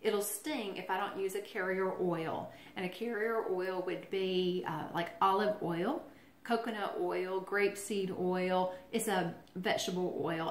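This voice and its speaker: A woman's voice: lowish voice, reverberant. A woman giving a casual how-to talk in a chatty, friendly way, with lots of ums and restarts. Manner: chatty, friendly, filler-heavy explaining. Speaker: essential oils presenter.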